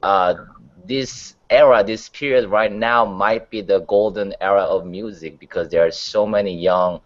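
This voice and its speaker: A man's voice: on the low side, fairly even intonation, measured pace, lo-fi sound. A man giving his views as a panelist in a casual discussion. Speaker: panelist on a music talk show.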